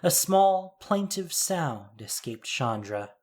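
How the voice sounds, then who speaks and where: A narrator of medium register giving an expressive, dramatic scripted reading of fiction. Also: medium pitch, takes it slowly.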